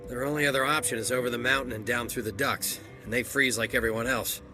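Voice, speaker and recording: An actor playing a weary, hard-bitten security captain in a video game, with terse, plain-spoken military delivery. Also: male voice, medium pitch, steady tone, fast, close to an everyday voice. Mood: weary, puzzled. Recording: music behind the voice.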